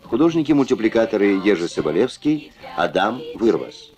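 A man's voice: lowish voice, sing-song, normal speed. A man reading a scripted studio voice-over for an old children's puppet cartoon. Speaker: voice-over narrator. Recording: old film soundtrack with music. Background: music.

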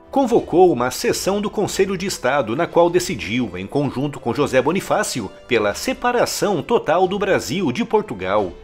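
A man reading a scripted history documentary narration in a measured, emphatic storytelling manner. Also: on the high side.

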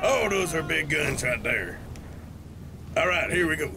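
A young man hosting a let's-play in excited, animated gaming commentary, recorded close up in a room. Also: on the high side, unhurried, gravelly. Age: young adult. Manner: animated, whooping and hollering. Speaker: voice actor and let's-play host. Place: small room indoors. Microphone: headset on, desk microphone up close. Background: loud game music.

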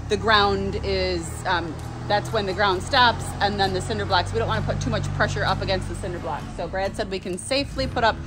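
A woman's voice: mid-range voice, a little fast, music in the background. A woman, a flower farmer, chatting casually on her phone while work goes on, telling stories about her partner with laughs. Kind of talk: casual vlog chat while working. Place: outdoors and in a greenhouse.

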